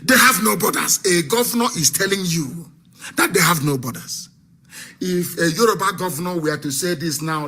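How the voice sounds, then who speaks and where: Bright, exclaiming Nigerian man. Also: high-pitched. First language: Igbo. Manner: exclaiming, repeating rhetorical questions, switching into Igbo. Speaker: commentator. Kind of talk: impassioned political commentary.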